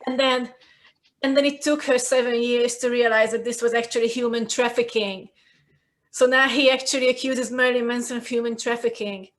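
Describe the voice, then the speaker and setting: A woman speaks as a guest in a casual conversation, her voice sitting somewhat high. She is articulate and emphatic and works through her points aloud. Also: level tone, normal speed. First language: Hungarian.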